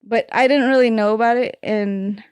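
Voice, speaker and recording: A woman's voice: on the high side, steady tone, moderate pace, a little mellow, clean tone, no room sound. A woman guest on a casual podcast, speaking hesitantly with many fillers as she thinks aloud. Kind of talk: casual conversation.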